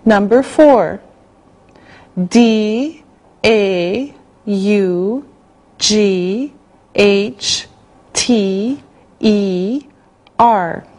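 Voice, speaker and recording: Slow, mellow-voiced woman reading dictation. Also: medium pitch. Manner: slow, carefully spelled out, evenly paced. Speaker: English teacher reading a listening exercise.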